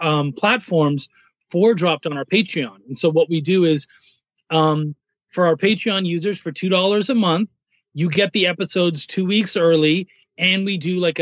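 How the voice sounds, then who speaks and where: A man with a notably high voice talks as a guest on a podcast, explaining things in a casual, conversational way. The sound is dry and a little muffled. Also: dry recording. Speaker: podcast guest, writer and editor.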